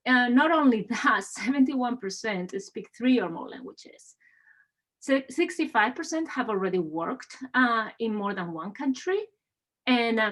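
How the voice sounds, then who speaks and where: A woman with a Spanish accent presents an admissions webinar over a video call. Her voice sits a little high for a woman. She talks in an upbeat, welcoming way and fills her pauses with frequent "uh"s. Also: fairly bright tone. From Spain. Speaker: assistant dean hosting an admissions webinar.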